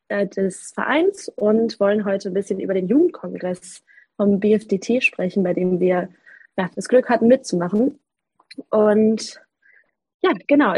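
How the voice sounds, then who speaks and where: A woman's voice: mid-range voice, a little mellow, breathy edge, low-quality recording. A twenty-year-old woman hosting a podcast, talking casually with frequent hesitations and filler sounds. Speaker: podcast host, association member.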